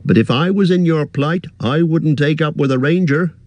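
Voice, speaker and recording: A man's voice: a little high, moderate pace, a little mellow, dry recording, pitched above the performer's own voice, lightly acted. A man reading aloud, voicing a fussy, anxious middle-aged male innkeeper who chatters in a rustic way. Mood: alarmed.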